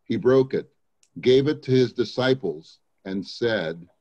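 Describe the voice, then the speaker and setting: A man's voice: medium pitch, animated, takes it slowly, darkish tone. A man giving a dramatic reading of scripture as a church reader, on a slightly dull recording.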